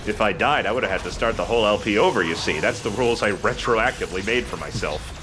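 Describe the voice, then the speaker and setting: A man's voice: on the low side, fast talker, background noise. A man giving casual gaming commentary as a let's play host, explaining things in a self-deprecating way.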